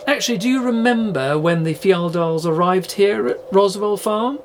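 Casting: male actor as earnest, courteous podcast reporter, highish voice with vocal fry, scripted audio drama. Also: normal speed, a little hiss or hum. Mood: probing. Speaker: podcast host.

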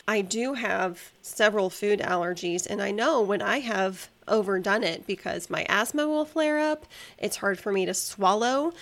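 A young adult woman from the United States hosting a solo podcast, talking with passion and emphatic, ranting lists. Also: a little high. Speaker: podcast host.

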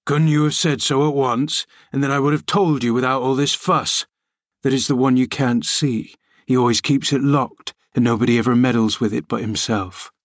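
A man's voice: mid-range voice, steady tone, moderate pace, no echo, clean studio sound, close to an everyday voice. A man reading aloud, voicing a sour, grumbling old male servant who scolds and mocks. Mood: mocking. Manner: scolding, mocking.

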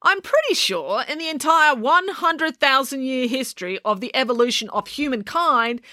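A woman's voice: on the high side, big pitch swings, moderate pace, fairly bright tone, no echo, voice pushed up. A woman voicing a blunt, sarcastic girl with a dry delivery. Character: blunt, sarcastic older sister, deadpan.

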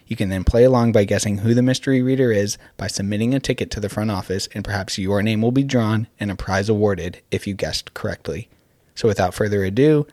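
Male host for school announcements, slightly low voice, dry close sound, formal scripted introductions. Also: darkish tone, no echo.

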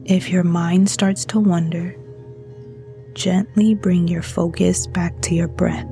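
A woman leads a guided meditation at a very unhurried pace. Her voice is fairly low and mellow, with a gentle, breathy softness. Each instruction is delivered soothingly, as calm narration. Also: soft background music.

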